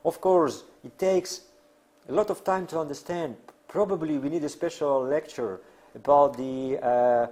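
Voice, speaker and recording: A man who is a non-native English speaker lecturing on theory in halting, deliberate phrases with emphatic pauses. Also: fairly high pitch, a little mellow. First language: not English. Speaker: academic lecturer.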